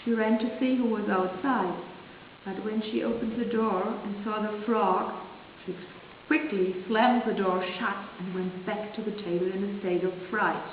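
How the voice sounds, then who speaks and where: A woman's voice: medium pitch, fairly even intonation, moderate pace. Woman storyteller in a very echoey hall. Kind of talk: expressive fairy tale reading. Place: large reverberant museum room. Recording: very echoey hall, tinny sound with hiss.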